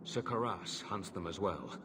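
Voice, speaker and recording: An actor voicing a weary, earnest middle-aged knight in a video game, speaking in a formal, measured way. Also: male voice, low-pitched, lively intonation, noisy background. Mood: grave, urging. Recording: music behind the voice.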